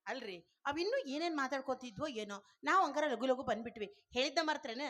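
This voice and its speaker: An actress playing a village mother who talks in a brisk, bossy way. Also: high-pitched, expressive, a bit bright.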